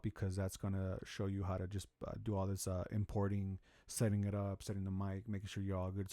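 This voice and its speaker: A man hosting a music production tutorial from a home studio, talking through his steps in a relaxed, rambling way with lots of fillers and restarts. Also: dry recording. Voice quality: deep voice. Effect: auto-tune pitch correction on some lines. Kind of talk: casual screen-recorded tutorial. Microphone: studio vocal microphone.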